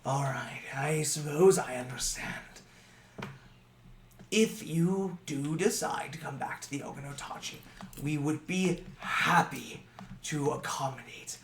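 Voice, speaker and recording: A man voicing a small, old male goblin elder who is stern yet kind, speaking in a soft, slow, hushed way. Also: a little high, lightly acted. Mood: polite. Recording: roomy, distant, faint noise.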